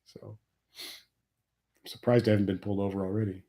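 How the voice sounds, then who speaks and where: A man's voice: fairly low pitch, level tone, moderate pace, mellow. A middle-aged man from Nebraska, sniffly from a cold, complaining wryly in a rambling live chat with his viewers. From Nebraska, USA. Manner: rambling, wry complaining about taxes. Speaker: livestream host.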